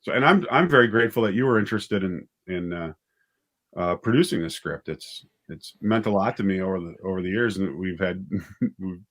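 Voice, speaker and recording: A man being interviewed as a writer and director, talking casually and haltingly as he thinks aloud. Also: deep voice, animated.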